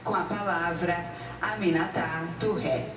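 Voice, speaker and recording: A woman gives a measured, formal introduction of the next speaker. Her voice sits fairly low and carries a lot of room echo. She is picked up from a distance, with clear music playing behind her. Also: fairly even intonation. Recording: echoey hall from far off, music behind.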